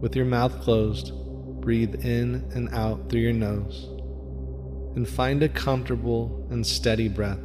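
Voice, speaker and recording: A man leading a guided meditation in a soft, soothing voice with a slow, repetitive cadence. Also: mid-range voice, steady tone. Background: faint music with gong.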